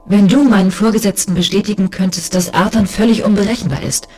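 A woman playing a bitter, lonely space police inspector in a science fiction audio drama, with a crisp, sardonic and reproachful manner. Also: mid-range voice, quick pace, darkish tone, faint music behind, lightly acted. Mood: pressing.

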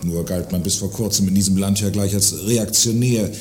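A man's voice: fairly low pitch, brisk, a little mellow, close to an everyday voice. A man acting a self-righteous, pompous arms manufacturer in a satirical radio play, speaking formally and indignantly. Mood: pompous indignation. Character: self-righteous, award-winning male arms manufacturer and husband. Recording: roomy sound with faint noise.

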